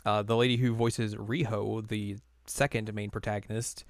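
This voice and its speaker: A man with a fairly low voice co-hosts a casual podcast, rambling through his thoughts with plenty of fillers and laughs. The sound is dry and close. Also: level tone, normal speed, no echo. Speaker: podcast co-host. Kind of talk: casual chat.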